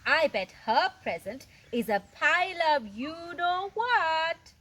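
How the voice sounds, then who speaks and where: A woman's voice: very high-pitched, big pitch swings, takes it slowly, pushed and bright, pitched above the performer's own voice, heavily acted. A woman voicing a young male boa snake from a storybook, polite but exasperated, with dramatic, indignant emphasis. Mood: gloomy. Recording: clear background noise.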